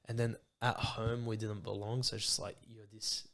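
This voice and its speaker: Australian man, young adult, podcast host, low-pitched, laid-back earnest casual chat. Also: little pitch movement, normal speed, fairly bright tone. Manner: laid-back, earnest, says bro and mate a lot.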